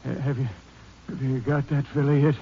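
An actor playing a dying older man in an old radio drama, speaking in weak, halting, breathless words. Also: medium pitch, flat delivery, lightly acted. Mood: weak, fading. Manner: slow, breathless, halting speech. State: dying, exhausted. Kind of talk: 1950s radio drama acting. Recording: dull lo-fi old radio recording with hiss.

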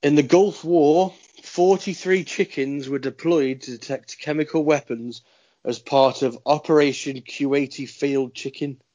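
A man co-hosting a podcast, reading out strange facts in casual chat with a deadpan delivery. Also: a little high, unhurried. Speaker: podcast co-host. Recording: dull lo-fi sound.

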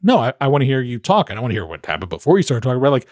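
A man who coaches podcast hosts, giving animated advice in an interview and acting out quoted voices. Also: medium pitch, very fast, no echo, studio quality.

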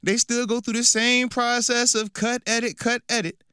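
A man's voice: extremely high pitch, flat delivery, normal speed, sharp tone, smooth, no echo, clean studio sound. A man in his thirties, a former football player co-hosting a casual sports radio show, bantering playfully and repeating phrases for emphasis.

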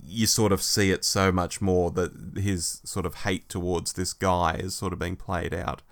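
A man's voice: low voice, flat delivery, a little slow. A man co-hosting a podcast, talking casually as he compares and discusses stories. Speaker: podcast co-host. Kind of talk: casual book review discussion.

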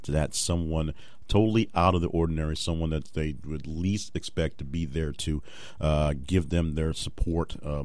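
A man's voice: extremely low pitch, a little mellow, no room sound. A man hosting a solo motivational podcast, talking off the cuff with fillers and restarts. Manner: unscripted, rambling with fillers and restarts. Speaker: podcast host.

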